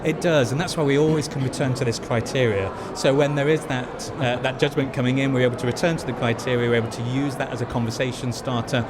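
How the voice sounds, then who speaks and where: A man's voice: medium pitch, fairly even intonation, fast, hardly any pauses. A man giving earnest, explanatory interview answers at an event venue, stressing points by repeating them. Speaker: head of awards judging. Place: event venue before ceremony. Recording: noisy busy venue ambience.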